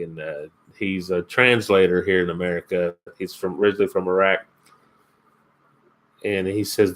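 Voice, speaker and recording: A man's voice: on the low side, a bit bright. A man from Kentucky with a Kentucky accent, hosting his first live stream and chatting casually with viewers, rambling and chuckling as he answers comments. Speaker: outdoors channel host.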